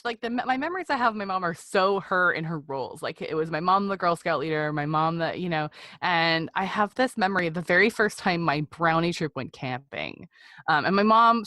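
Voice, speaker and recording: Woman, thirties, American accent, low voice, candid podcast guest, chatty storytelling, dry close sound. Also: sharp tone, dry recording. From Seattle area, United States. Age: 30s. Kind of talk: personal storytelling in a conversational interview.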